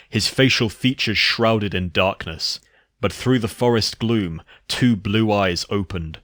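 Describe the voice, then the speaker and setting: A man narrates a dark horror tale as a scripted reading. His voice sits fairly low, and the sound is dry with no room around it. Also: no room sound.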